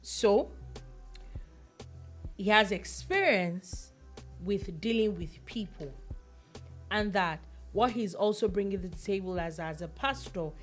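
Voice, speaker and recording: A woman's voice: medium pitch, expressive, slow, pauses often, soft background music. Chatty woman radio host. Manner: chatty, thinks aloud with many fillers and restarts. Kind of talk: news analysis chat.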